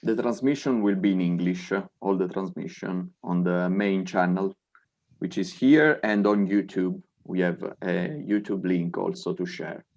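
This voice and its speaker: Low-voiced young Italian man hosting. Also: measured pace, creaky, darkish tone. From Italy. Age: 20s. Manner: friendly, switches between English and Italian. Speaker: event host. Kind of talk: online event hosting.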